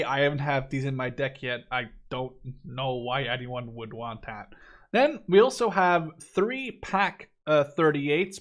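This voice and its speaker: A man in his twenties from the Netherlands giving casual, opinionated game review commentary in informal language with some swearing. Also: a little high. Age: 20s. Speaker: gaming video host.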